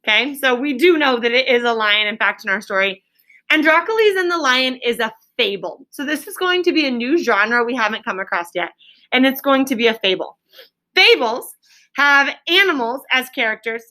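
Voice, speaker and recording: A woman's voice: high-pitched, expressive, moderate pace, sharp tone. A woman teaching a classroom reading lesson to children, explaining things simply, repeating key words and prompting pupils with questions. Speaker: elementary school teacher.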